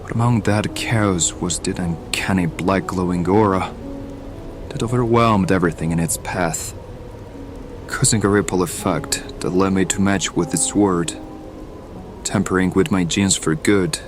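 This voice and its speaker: An actor playing a bitter, world-weary mutant mercenary in an audio drama, grumbling with coarse sardonic resentment. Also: male voice, deep voice, unhurried, noisy background. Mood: bitter, recounting. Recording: music under the voice.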